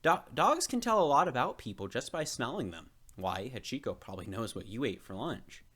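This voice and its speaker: A man voicing a kindly older professor in a children's storybook, explaining gently and patiently. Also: mid-range voice, expressive, fairly quick. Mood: kindly, reassuring. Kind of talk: children's storybook character reading.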